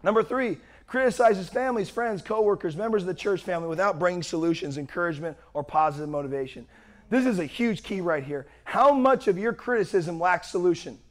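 Man, young pastor, sermon, strained voice, high pitch, loud projection. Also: a little fast. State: strained, worn-out voice.

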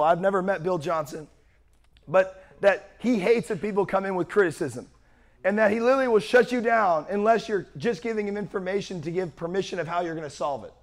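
Man, young pastor, sermon, strained voice, high pitch, loud projection. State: strained, worn-out voice.

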